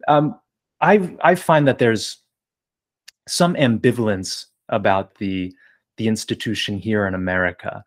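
Formal-sounding interviewer man. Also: lowish voice. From New Jersey. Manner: measured, wordy, formal phrasing. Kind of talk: casual interview conversation.

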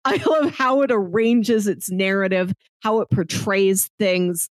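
A woman with a medium-pitched voice in a dry, close recording. She talks as a podcast co-host in casual review chat, laying out her opinions analytically and at some length, in articulate, conversational sentences. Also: a little slow, dry recording.

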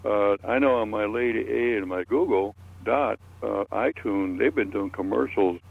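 Man, gravelly low voice, folksy, repetitive, casual chat. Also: moderate pace, phone-quality sound.

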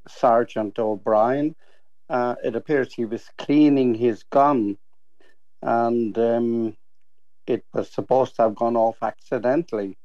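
An older Irish man tells personal recollections as an interview guest. He speaks rather slowly and haltingly, with hesitations. The recording is dry and somewhat muffled. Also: medium pitch, mellow, no room sound. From Kilkenny, Ireland. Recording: dull, dry lo-fi sound.

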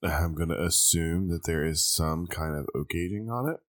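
Congested man, extremely low pitch, dry close sound, casual taster who ums a lot. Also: moderate pace, no echo. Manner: thinking aloud with many ums. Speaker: host tasting liquor blind. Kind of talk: casual tasting chat.

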